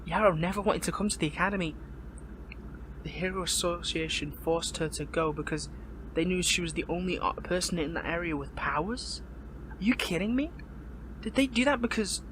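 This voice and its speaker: A man playing an earnest, conflicted young captured hero in an amateur audio roleplay, speaking haltingly, with pleading emotion. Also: sits high, a bit bright, a little hiss or hum, rough recording. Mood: disbelieving. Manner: halting, emotional, pleading, repeats and breaks off words. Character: earnest captured young male superhero, shaken, conflicted and lovesick.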